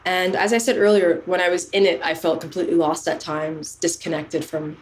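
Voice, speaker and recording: A woman's voice: on the low side, flat delivery, a little fast, slight background noise. A woman in her thirties, raised in Canada, earnestly telling the story of her career as a podcast guest. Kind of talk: casual interview chat.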